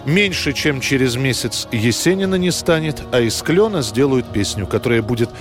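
A man narrating a scripted history programme in a measured, storytelling way. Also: mid-range voice, expressive, soft background music.

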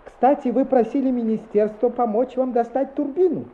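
A woman's voice: on the high side, moderate pace, reverberant, lo-fi sound, natural voice. An actress playing a stuffy, curt, disapproving male school inspector in a radio play. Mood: displeased, officious. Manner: officious, curt.

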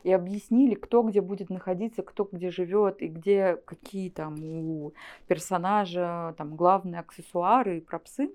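A woman's voice: fairly low pitch, fairly even intonation, moderate pace, a little mellow. A woman speaking as a studio guest in an interview, explaining things conversationally with examples.

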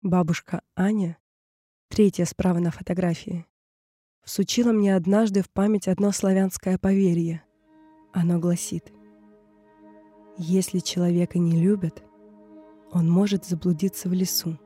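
A woman reading a scripted personal story in a measured, reflective way, in short phrases. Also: on the low side, steady tone, mellow, slightly rough. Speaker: narrator of an audio performance. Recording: music bed under the voice. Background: music.

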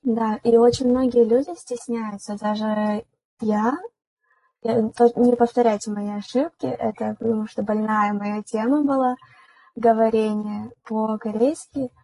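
A young woman hosts the conversation, putting questions to her guest and then talking about herself. Her voice sits fairly high, with a flat, mellow, breathy tone. The sound is dry and close. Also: measured pace, rough recording. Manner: asks questions, then shares her own story. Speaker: podcast host. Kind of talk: casual chat.

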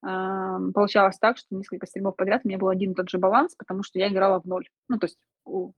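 A woman speaking as a streamer guest in a relaxed interview, chatty, laughing often and filling pauses with hesitations. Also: medium pitch, dry recording, muffled recording. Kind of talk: casual interview chat.

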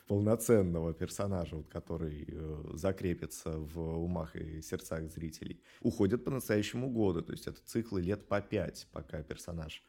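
A middle-aged man giving thoughtful interview answers in a reflective, measured way with hesitant pauses. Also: deep voice, vocal fry, mellow, husky. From Russia. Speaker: animation studio director, interview guest.

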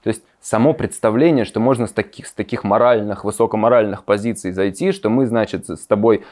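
Low-voiced man, sardonic podcast co-host. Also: a little fast, a little mellow. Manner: sardonic, dismissive, laughs while talking. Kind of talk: casual discussion.

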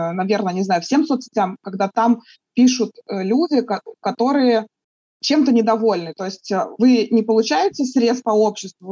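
A woman from Russia answering interview questions in a thoughtful, halting way, with many fillers and restarts. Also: mid-range voice, darkish tone, breathy edge, no echo, muffled recording.

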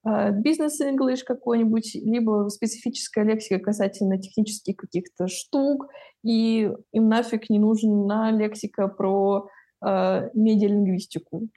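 A woman's voice: medium pitch, fairly even intonation, measured pace, warm tone. A woman in her twenties explaining her views thoughtfully in a casual podcast conversation.

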